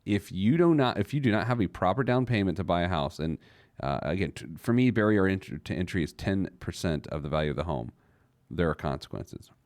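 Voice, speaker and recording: A man's voice: sits low, expressive, brisk, a little mellow, no room sound, clean studio sound. A man hosting a personal finance show, giving conversational advice in an emphatic manner with rhetorical questions and joking asides.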